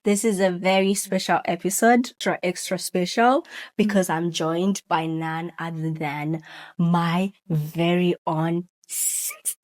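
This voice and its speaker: A woman's voice: lowish voice, steady tone, slow pace. A woman hosting her own talk show, chatting casually and drawing her guest into conversation. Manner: chatty, warm welcomes to viewers. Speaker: podcast host. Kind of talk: casual chat.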